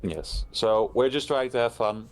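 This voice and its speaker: A man co-hosting a podcast, chatting casually about a horror story in a blunt, matter-of-fact way with halting restarts. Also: medium pitch. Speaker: podcast co-host.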